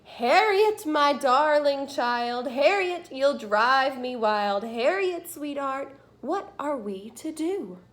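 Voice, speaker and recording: A woman voicing a patient storybook mother straining to stay calm with her child, with slow, sing-song emphasis. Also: high-pitched. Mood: strained patience.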